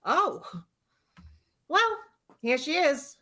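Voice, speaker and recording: A woman's voice: high-pitched, sing-song, drawn-out words, sharp tone, heavily acted. A woman playing a baffled neighbour answering the telephone in an old-time radio drama.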